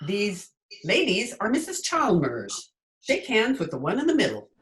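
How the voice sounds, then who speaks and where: A woman playing a wry, quick-witted schoolteacher in old-time radio comedy style, with dry wisecracks. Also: medium pitch, huge pitch swings. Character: wry, quick-witted female schoolteacher covering for her boss.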